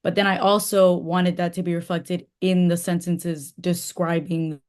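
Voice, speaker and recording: A woman's voice: on the low side, a little slow. A woman whose first language is Spanish, talking casually as an author guest over a video call, with frequent fillers. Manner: relaxed, chatty with frequent fillers. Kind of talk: casual interview talk.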